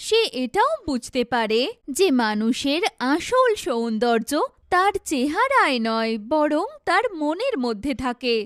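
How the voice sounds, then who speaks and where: A woman's voice: high-pitched, very animated, a little slow, a bit bright, no echo. A woman narrates a scripted cartoon tale in storybook style. The recording is dry and close, with no room around the voice.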